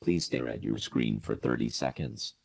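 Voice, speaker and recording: A man's voice: extremely low pitch, wildly swooping pitch, moderate pace, gravelly, full character voice. A man voicing a cold, menacing narrator of an instructional horror tape, giving clinical announcements. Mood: cold, ominous. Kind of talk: slow scripted instructional announcement.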